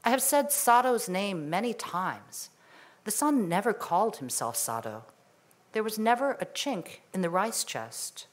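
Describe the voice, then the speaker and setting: A woman in her forties giving a poetry reading on a museum stage, speaking in a measured, deliberate way into a stand microphone. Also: fairly low pitch. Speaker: poet. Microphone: microphone on a stand at a lectern.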